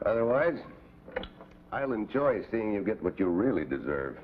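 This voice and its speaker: Tough low-voiced special agent, tinny recording. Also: male voice, sing-song, normal speed, noisy background. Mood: hard, threatening. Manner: clipped, commanding, dry. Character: tough, hard-nosed male American special agent. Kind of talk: 1950s television drama acting. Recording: tinny old TV soundtrack, loud music.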